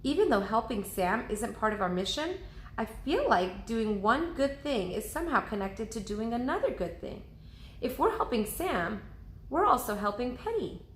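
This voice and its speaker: A woman's voice: on the high side, very animated, moderate pace, a little hiss or hum, pitched above the performer's own voice. A woman voicing a cheerful, encouraging and helpful young girl adventurer in a storybook reading. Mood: earnest. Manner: bright-spirited, encouraging. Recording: roomy, echoing indoor sound.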